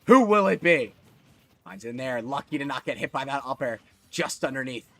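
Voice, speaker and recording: A man giving solo live commentary on a fighting game tournament, excitable and jumping to high shouts at big moments, his voice a little worn, from a small closet. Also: moderate pace. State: losing his voice. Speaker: esports commentator.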